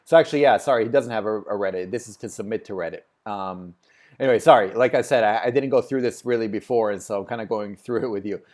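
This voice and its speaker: A man's voice: fairly low pitch, fast talker, darkish tone. Man chatting casually over a screen share. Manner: rambling, many fillers, thinks aloud. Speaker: host reviewing a website.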